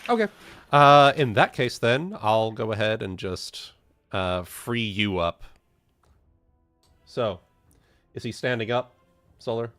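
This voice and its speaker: A man's voice: lowish voice, lively intonation, slow, long pauses, fairly bright tone, faint music behind. A man talking casually as a player in a tabletop roleplaying session, often giving short, agreeable replies. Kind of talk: casual game-session chat.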